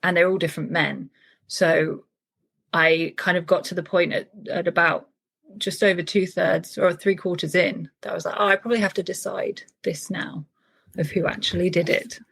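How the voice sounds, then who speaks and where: A woman's voice: on the low side, steady tone, normal speed, vocal fry, fairly bright tone. A woman novelist talking about her writing in a casual interview, thoughtful and full of small restarts. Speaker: novelist guest.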